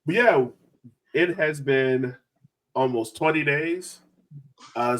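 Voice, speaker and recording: A man's voice: medium pitch, low-quality recording. Casual man hosting a sports podcast. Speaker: podcast host.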